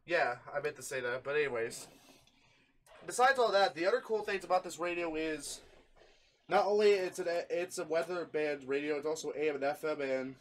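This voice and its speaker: A man's voice: fairly high pitch, brisk, fairly bright tone. A man hosting a casual hobby show-and-tell, chatting informally with friends. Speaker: video host showing a radio collection.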